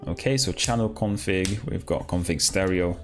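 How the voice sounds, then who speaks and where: A man hosting a live coding stream, talking casually and haltingly as he thinks aloud and asks himself questions. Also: sits low, soft background music. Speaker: livestream host, programmer.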